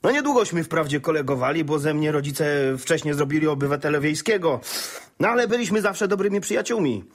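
An actor playing a genial, worldly gentleman landowner, a reformed rake, with a wry and courteous manner in a radio drama. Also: on the high side, expressive, a little fast. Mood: curious, friendly.

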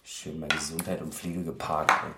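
Low-voiced, disgruntled male politician. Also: little pitch movement, normal speed, very noisy. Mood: grumbling, fed up. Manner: grumbling, weary. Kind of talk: naturalistic radio drama dialogue. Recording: roomy kitchen, loud clattering dishes.

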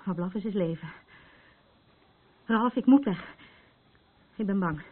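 An actress in a radio play, playing a troubled, battered woman who is anxious and rambles haltingly in defence of her abuser. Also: medium pitch, breathy edge. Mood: agitated, confiding. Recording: muffled, phone-like narrow band.

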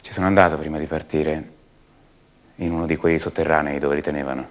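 Brooding orphaned son, very low male voice, halting, intimate, radio drama monologue. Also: steady tone. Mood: haunted. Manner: halting, repeats words, intimate. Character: haunted adult son of a disappeared woman, brooding, searching. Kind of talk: radio theatre monologue in Italian.

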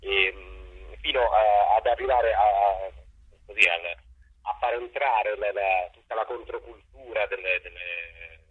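Male interview guest, dull lo-fi recording, husky voice, halting, repetitive, conversational. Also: lowish voice. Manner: halting, searching, repeats words. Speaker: theatre artist, interview guest. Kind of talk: casual spoken interview.

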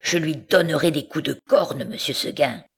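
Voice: medium pitch, normal speed, creaky, slightly rough. A reader voicing a young female goat who pleads wistfully to be set free in a storybook tale. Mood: pleading. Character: young female goat, restless, yearning for freedom.

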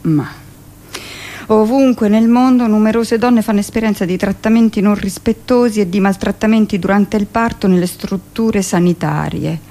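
Female performer, medium pitch, formal document reading with deliberate, measured delivery. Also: fairly even intonation, warm tone. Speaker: stage and radio performer. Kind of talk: dramatic reading of a formal declaration.

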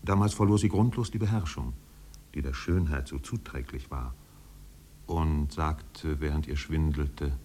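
An actor as the narrator of a 1950s German literary radio play, telling the story in a measured, precise, composed way. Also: male voice, rumbling low, mellow, a little hiss or hum.

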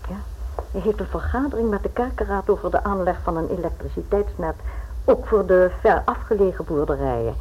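A woman acting in a Dutch radio play as a polite, guarded upper-class lady with a husky, breathy voice and formal manner. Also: on the low side, a little fast, dull audio. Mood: polite, gracious. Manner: formal, reserved.

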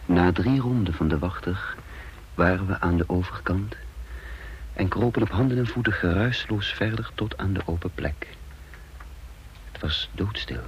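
Commando leader, male, deep, creaky, hushed, clipped orders, tense, suspenseful radio play narration. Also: dull audio, a little hiss or hum. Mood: grave, suspenseful.

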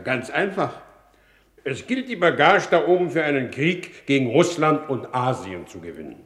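An actor playing a blunt, sardonic war minister in a 1950s radio drama, with a brisk, declamatory and contemptuous delivery. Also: male voice, medium pitch, big pitch swings, fairly bright tone. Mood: brisk, confident. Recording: roomy vintage radio studio sound.

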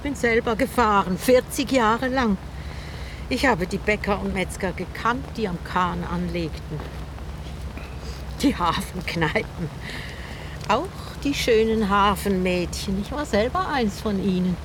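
A woman's voice: medium pitch, a little slow, noisy background, lightly acted. An actress in a radio drama playing a talkative old river boatwoman with a wry, chatty, teasing manner. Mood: nostalgic. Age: older.

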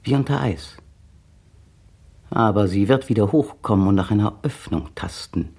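A man's voice: fairly low pitch, moderate pace, gravelly, darkish tone, slightly rough. An actor playing a bitter, cold man speaking a precise, brooding inner monologue in a 1960s radio play. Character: bitter, cold adult man resenting his partner. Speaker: male protagonist narrating inner monologue.

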